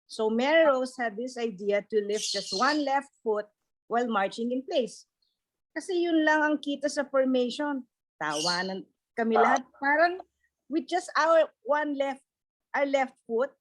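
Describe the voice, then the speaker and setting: Middle aged filipino lady reading memories on zoom, high voice, bit of noise and clicking. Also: expressive, normal speed, poor audio. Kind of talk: scripted reminiscence at a table read. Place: online video call. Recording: video call with faint noise, clicks.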